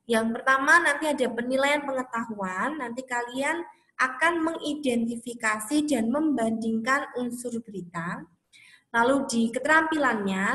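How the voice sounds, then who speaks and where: A woman's voice: high voice, pushed and bright. A woman teaching a recorded school lesson, chatty and encouraging as she addresses her pupils directly. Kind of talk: recorded classroom lesson for children. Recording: echoey, roomy sound.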